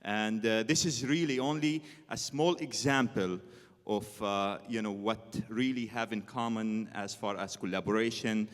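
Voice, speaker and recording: A man's voice: fairly low pitch, a little slow. A man speaking reflectively as a guest on stage, hesitant and full of filler phrases. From northern Israel. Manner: hesitant, frequent "you know". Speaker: interview guest, violinist. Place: on stage in a concert hall. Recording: reverberant concert hall.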